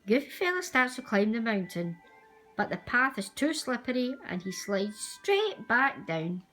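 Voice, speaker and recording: A woman reading a children's storybook aloud as a storyteller, with expressive, deliberate delivery. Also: on the high side, faint music behind, low-quality recording. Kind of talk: scripted storybook narration for young children.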